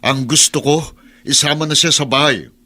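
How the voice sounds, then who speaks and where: A Filipino actor playing a pushy, smug, menacing creditor in a radio drama. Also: male voice, on the high side, steady tone, moderate pace, sharp tone. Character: domineering, menacing creditor claiming a bride.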